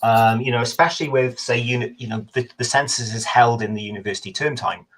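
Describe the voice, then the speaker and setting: A man, a history professor, giving a measured, thoughtful explanation over a video call, sometimes correcting himself mid-sentence. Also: mid-range voice, fairly bright tone. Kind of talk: explanatory talk in a webinar.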